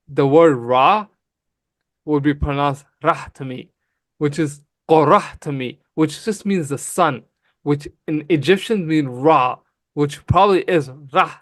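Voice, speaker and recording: A man raised in Canada whose first language is Somali, arguing emphatically and quickly in a heated livestream debate, often calling the other person bro. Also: on the high side, no echo. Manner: emphatic, fast, calls people bro. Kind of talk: heated casual argument.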